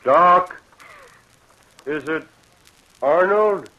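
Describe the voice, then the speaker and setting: An actor playing a genial, folksy judge who is easily rattled, in old radio drama style. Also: male voice, a little high, lively intonation, extremely slow, lo-fi sound. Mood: uneasy. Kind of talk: 1940s radio acting.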